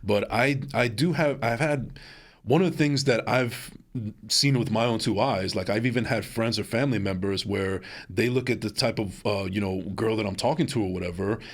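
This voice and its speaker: Male podcast host, lower voice, rambling and hedging, talks loosely in casual chat. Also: moderate pace. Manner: rambling, hedging, many restarts and fillers.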